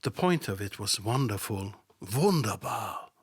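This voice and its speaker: A man's voice: lowish voice, sing-song, a little slow, breathy edge, dry recording, studio quality. A man hosting a satirical Bible commentary podcast, sardonic and mocking, sometimes dropping to a whisper for asides. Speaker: podcast host.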